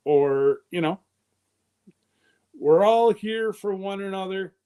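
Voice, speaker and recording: A man's voice: sits high, animated, slow, dark tone, smooth. A man in his fifties hosting a live stream, chatting casually in a loose, rambling way. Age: 50s.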